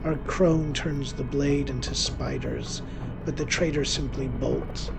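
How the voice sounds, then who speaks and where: A man telling a scripted horror story in the first person, in a theatrical, deliberate way. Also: medium pitch, steady tone, creaky, over music.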